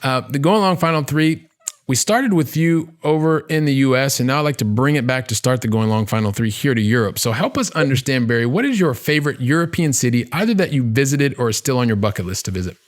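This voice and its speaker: A man hosting a podcast in a conversational interview style, with wordy, halting phrasing and catchphrases. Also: medium pitch, lively intonation. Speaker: podcast host.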